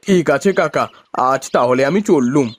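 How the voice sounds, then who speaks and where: A man's voice: medium pitch, animated, normal speed, close to an everyday voice. A man voicing a cheerful, polite farmer husband from a village, in cartoon dialogue. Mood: respectful.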